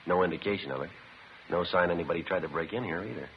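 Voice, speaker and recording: An actor playing a terse, methodical police detective in a 1950s radio drama, matter-of-fact and understated in both dialogue and narration. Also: male voice, deep voice, fast. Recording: noisy, dull vintage 1950s radio recording.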